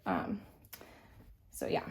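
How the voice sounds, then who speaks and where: A young woman from California chatting casually, with many fillers and restarts, while dressing a doll, her voice a little stuffy from being sick. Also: extremely slow. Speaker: vlogger, reborn doll collector.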